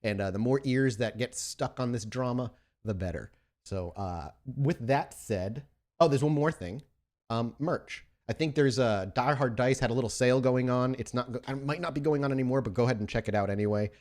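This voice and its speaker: A man's voice: mid-range voice, moderate pace, a little mellow. A man casually and enthusiastically chatting as the host of a tabletop roleplaying podcast. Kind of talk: casual podcast intro chat.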